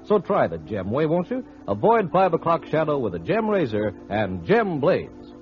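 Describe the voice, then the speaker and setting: A male radio announcer delivering 1940s-style commercial pitches and narration in a polished, persuasive manner. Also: huge pitch swings, normal speed, faint music behind. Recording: muffled old radio broadcast recording.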